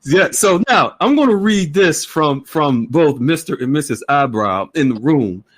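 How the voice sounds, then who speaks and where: A man hosting a casual call-in chat, animated and laughing often, with frequent stammering restarts. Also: fairly high pitch, measured pace. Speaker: host.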